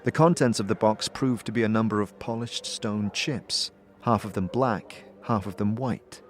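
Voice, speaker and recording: A man's voice: lowish voice, normal speed, slight background noise. A man narrating an audio drama and speaking as its lead, with hesitant "uh"s in his lines. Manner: hesitant, with filler "uh"s in dialogue.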